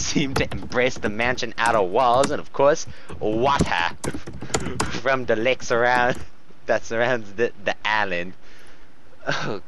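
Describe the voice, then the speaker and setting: A man's voice: mid-range voice, fairly bright tone. A man co-reading fan fiction aloud in a joking style, giggly and often stumbling over the text. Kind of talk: joking read-aloud with casual asides. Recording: muffled, noisy home recording.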